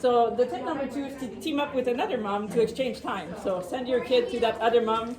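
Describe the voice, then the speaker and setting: A woman with a French accent gives an informal live workshop as presenter, talking quickly and chattily with self-deprecating humor. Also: a little high, crowd noise.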